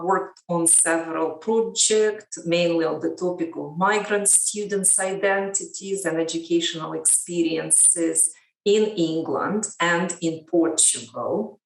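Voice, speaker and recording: A woman from Ukraine, a lecturer, giving measured, thoughtful interview answers. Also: fairly low pitch. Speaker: guest, education lecturer. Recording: roomy, distant mic.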